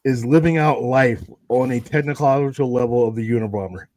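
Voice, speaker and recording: A man's voice: medium pitch, brisk, darkish tone, no echo. A man co-hosting a live stream, ribbing his partner in incredulous, joking banter. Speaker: co-host. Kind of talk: casual live stream chat.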